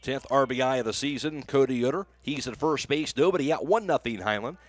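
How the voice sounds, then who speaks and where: A man's voice: mid-range voice, fairly quick, dry recording. An American man working as a radio announcer, speaking in a broadcast style into a close microphone. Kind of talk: live baseball play-by-play commentary.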